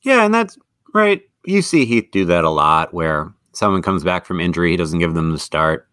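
A man co-hosting a casual sports podcast, chatty and self-deprecating, quick to laugh. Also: low-pitched, big pitch swings, fairly bright tone, no echo, studio quality. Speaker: podcast co-host.

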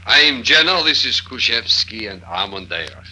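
An actor playing an affable but evasive man with a husky voice in a 1950s radio drama. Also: on the low side, big pitch swings, a little slow, bright, forceful tone, heavily acted. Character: affable, evasive man sheltering in a mountain hut. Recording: old radio recording.

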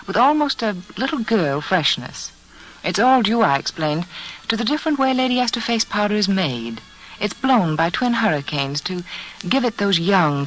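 A woman's voice: lowish voice, a bit bright, low-quality recording. An American woman reading a scripted beauty advertisement as a storyteller in 1940s radio style.

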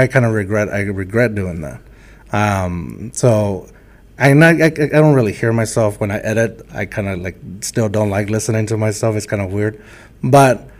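A man from a Texas border town with a light Mexican accent, hosting a podcast in a hesitant, reflective way. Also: on the low side. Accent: Mexican-influenced Texan. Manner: hesitant, many fillers and restarts, reflective. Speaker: podcast host. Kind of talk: casual interview talk.

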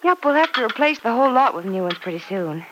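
A woman's voice: a little high, very animated, fast talker. An actress playing a practical, affectionate motherly woman in an old radio serial. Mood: affectionate, wry. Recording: vintage radio recording with faint noise.

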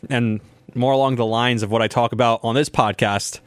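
Chatty young sports podcast host. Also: male voice, medium pitch, steady tone, fairly quick, clear, resonant tone, no echo. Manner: chatty, rambling, laughs often. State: slightly sore voice. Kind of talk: casual sports talk.

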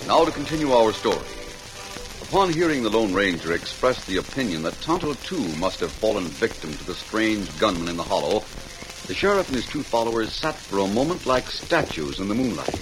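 A lowish male voice with sweeping, animated intonation. He is a formal radio announcer narrating a 1940s drama with theatrical weight. The recording is noisy and hissy. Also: a little fast.

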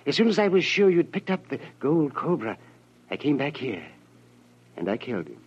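An actor playing a scheming, bitter villain with a husky voice, sneering in precise, theatrical 1940s radio diction. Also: male voice, a little high, very animated, normal speed, a little mellow. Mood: bitter, cold. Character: scheming, bitter male villain holding a gun. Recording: dull old radio recording.

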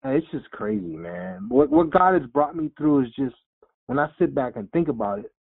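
A man in his forties telling his life story in an interview, animated and quoting himself in lively voices. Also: medium pitch, moderate pace, breathy edge. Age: 40s. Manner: animated storyteller, acts out quotes. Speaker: guest, rapper. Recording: phone-like lo-fi sound.

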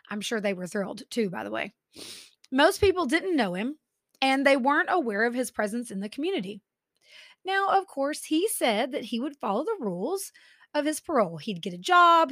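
A chatty Texan woman hosts a true crime podcast, her voice pitched slightly high and a little stuffy from allergies. She tells the story with sarcastic asides, and the sound is close and dry. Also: a bit bright, dry recording. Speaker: podcast host.

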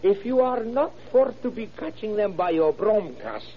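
An actor playing an eager, excitable café owner with a Greek accent and garbled English in an old radio drama. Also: male voice, sits high, normal speed, slight background noise. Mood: eager, earnest. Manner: garbled grammar, muddled idioms, eager to help. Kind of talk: old-time radio comic character acting. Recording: muffled old radio recording.

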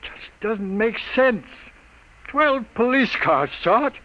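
Sardonic veteran newspaper editor, animated and bright. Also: male voice, very high voice, takes it slowly, heavily acted, poor audio. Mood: stubborn. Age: 50s. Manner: wry, theatrical, world-weary delivery. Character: gruff, sardonic 54-year-old veteran newspaper city editor. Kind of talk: old-time radio drama acting. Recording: vintage radio drama recording.

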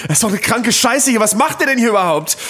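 A man playing a whiny, easily frightened car dealer in a comic radio play, with a pleading manner and a husky voice. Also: very high voice, lively intonation, fast talker, pushed and bright, exaggerated voice. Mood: panicked. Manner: whining, pleading.

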